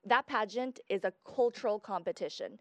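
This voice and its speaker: Young woman guest from Guatemala, mid-pitched, chatty, dry close sound. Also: brisk, no echo. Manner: chatty, explains at length with fillers. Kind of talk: casual interview chat.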